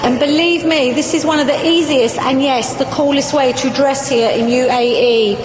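A woman's voice: high voice, fairly even intonation, normal speed, over music. Lady guiding visitors in a mosque, explains things kindly, really reverby room. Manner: welcoming, explanatory. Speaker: mosque tour guide. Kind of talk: guided tour talk. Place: inside a large mosque. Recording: echoey large hall.

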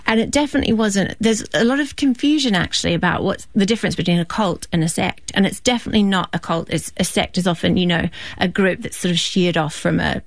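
A woman in her forties from New Zealand, a novelist answering interview questions in a thoughtful way, with soft restarts and fillers. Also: lowish voice, quick pace, vocal fry, hardly any pauses, dry recording.

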